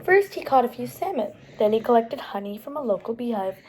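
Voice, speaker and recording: A woman reading picture books aloud to young children as a storytime host, animated and pausing to explain things to the listeners. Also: fairly high pitch, slightly rough. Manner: animated, explains pictures and words as she goes.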